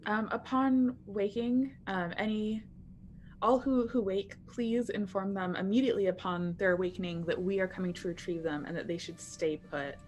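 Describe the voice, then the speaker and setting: A woman's voice: mid-range voice, steady tone, moderate pace, a little mellow, a little hiss or hum. A woman playing a tabletop roleplaying game, talking conversationally as her character.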